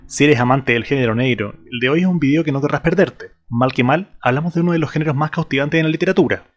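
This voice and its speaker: Quick-talking Chilean man hosting a video. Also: a little high. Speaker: YouTube channel host. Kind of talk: scripted video essay narration to viewers.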